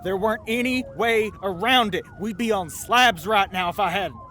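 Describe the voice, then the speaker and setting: A man's voice: sits high, normal speed, sharp tone, a little hiss or hum. A man voicing a volatile, scheming bank robber in an audio roleplay, with a folksy Southern US accent and coaxing pet names for his partner. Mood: urgent, pleading.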